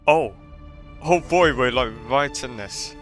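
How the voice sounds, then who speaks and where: A man's voice: mid-range voice, animated, slow pace. A man giving casual reaction commentary as he streams a game, with game music in the background. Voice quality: bright, clear.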